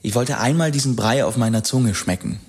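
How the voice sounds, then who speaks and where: An actor playing a bashful, good-natured man who talks haltingly, in a naturalistic radio drama. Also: medium pitch, darkish tone, husky. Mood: nostalgic, sheepish. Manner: hesitant, halting. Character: bashful, good-natured man sharing a personal childhood story.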